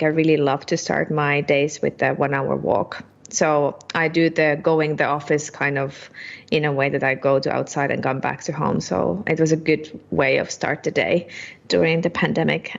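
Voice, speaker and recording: A woman in her thirties from Finland, answering interview questions in a casual, halting way with many fillers. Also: low voice, creaky, dull audio. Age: 30s. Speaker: guest, partner sales director.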